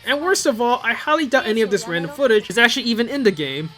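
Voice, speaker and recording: Man, high-pitched voice, swooping animated intonation, conversational reviewer, opinionated, music behind. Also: fast talker. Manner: opinionated, conversational, with rhetorical questions. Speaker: video host and reviewer. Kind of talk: casual video commentary and critique. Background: upbeat music from the videos being reviewed.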